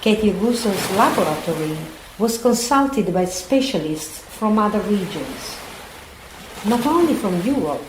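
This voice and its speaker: A woman playing a grave narrator in a scripted audio drama about a disaster, measured and serious. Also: mid-range voice, big pitch swings. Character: grave female narrator reporting an unfolding pandemic. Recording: echoey room, clear background noise.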